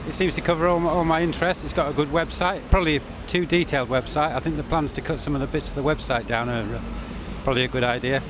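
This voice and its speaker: A man's voice: on the high side, steady tone, fast, background noise. A man from the public giving a matter-of-fact opinion in a street interview. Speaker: member of the public. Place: outdoors on a street.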